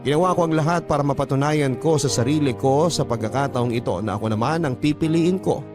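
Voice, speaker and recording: A man's voice: on the high side, fairly quick. A man hosting a radio drama, reading a listener's letter aloud as scripted dramatic narration. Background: background music.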